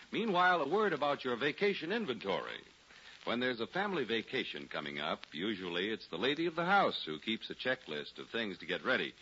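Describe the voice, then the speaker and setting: A man's voice: medium pitch, bright, forceful tone, slight background noise. A male radio announcer reading scripted narration and advertising in a polished, emphatic 1940s style. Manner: polished, emphatic salesman delivery. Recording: dull 1940s radio recording with music.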